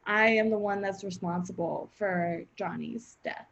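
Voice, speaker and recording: A woman's voice: mid-range voice, steady tone, unhurried, vocal fry, fairly bright tone, lo-fi sound, slight background noise. A woman improvising a comedy role as a bold, boastful New York gangster. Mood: cagey, casual.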